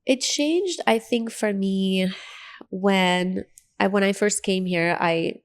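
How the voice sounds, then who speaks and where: Creaky-voiced woman with an American accent. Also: mid-range voice, slow pace. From Lebanon. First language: Arabic. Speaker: interview guest. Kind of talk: personal storytelling in an interview.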